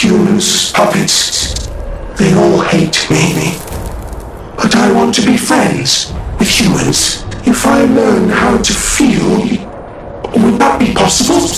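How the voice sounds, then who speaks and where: A man playing a lonely, gentle puppet outcast who longs to feel, speaking haltingly and wistfully in a video game. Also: high-pitched, creaky, heavily acted. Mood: hopeful. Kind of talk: video game cutscene acting. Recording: distant, heavily echoing, under music.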